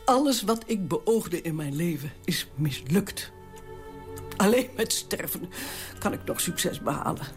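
A woman's voice: on the low side, animated, measured pace, faint music behind. A stern old queen. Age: older. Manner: stately, commanding and cutting. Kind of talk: radio drama acting.

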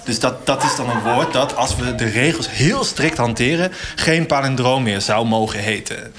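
An actor playing an earnest, easily indignant institute director in a satirical radio interview, hesitant and pedantic. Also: male voice, mid-range voice, moderate pace, a bit bright, lightly acted. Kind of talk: mock radio interview, satirical audio drama. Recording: busy call centre chatter behind. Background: crowd of voices in a call centre.

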